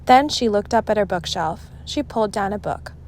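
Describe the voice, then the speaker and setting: A woman reads a children's story aloud in an expressive storytelling style. Her medium-pitched voice rises and falls with plenty of variety. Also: moderate pace. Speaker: storybook reader.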